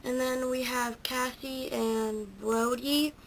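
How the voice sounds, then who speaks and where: A woman's voice: high voice, fairly even intonation, takes it slowly, creaky, a little hiss or hum, low-quality recording. A teenage girl giving a casual show-and-tell tour of her model horse stable, hesitant, with lots of ums and self-corrections. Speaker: toy horse barn video maker. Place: indoors at a homemade model stable. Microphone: handheld camera close to the toys.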